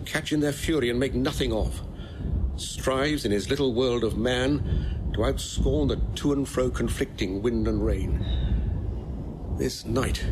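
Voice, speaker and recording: Actor as a troubled gentleman amid storm noise. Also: mid-range voice, natural voice. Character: troubled gentleman reporting on his king. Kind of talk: classical Shakespearean audio drama. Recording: noisy storm sound effects behind.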